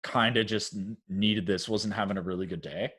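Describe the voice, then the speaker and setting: A man's voice: fairly low pitch, fairly even intonation, moderate pace, a bit bright, slightly rough. A young man, co-hosting a casual podcast chat in a laid-back way with lots of fillers. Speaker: podcast co-host.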